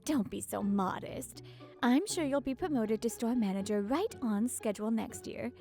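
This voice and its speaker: A woman's voice: a little high, moderate pace, a little mellow, soft background music, lightly acted. A woman voicing a kind, encouraging café manager in a manga story. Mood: warm, praising. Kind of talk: manga story character acting.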